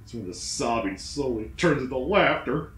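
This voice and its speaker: Animated man reading a ghost story, roomy sound. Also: mid-range voice, moderate pace. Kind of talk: dramatic scripted narration. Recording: roomy, echoing room sound.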